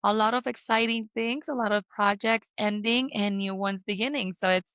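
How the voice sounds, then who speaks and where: A woman's voice: mid-range voice, steady tone, normal speed, fairly bright tone, clear, resonant tone, no echo. A young woman from Mexico, a podcast guest telling her life story in an earnest way with questions to herself. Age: young adult. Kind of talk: interview conversation. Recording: dry, slightly dull lo-fi sound.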